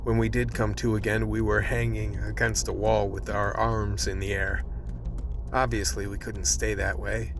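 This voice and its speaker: A man's voice: lowish voice, flat delivery, normal speed, background noise, natural voice. An actor playing a world-weary, tough survivor, telling and living his ordeal in plain-spoken hardboiled style in a radio play. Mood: wry, weary. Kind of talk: radio play narration and dialogue.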